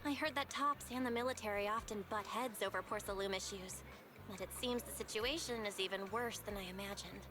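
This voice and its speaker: A woman playing a sharp, curious young heroine in a video game, inquisitive and thoughtful. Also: mid-range voice, a little fast, close to an everyday voice.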